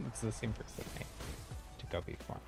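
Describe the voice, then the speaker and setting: A man talking casually as he livestreams a game, thinking aloud in a halting, informal way. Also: medium pitch, huge pitch swings, over music. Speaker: livestreamer, channel host. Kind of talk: casual game stream commentary and chat.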